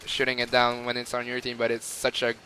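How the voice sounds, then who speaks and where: Young French Canadian man, bright voice, chatty rambling game commentary, faint noise floor. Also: mid-range voice, completely flat delivery, a little fast, poor audio. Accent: Quebec French. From Montreal, Canada. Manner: rambling and chatty, with frequent fillers and laughs. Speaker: gamer. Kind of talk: gameplay commentary.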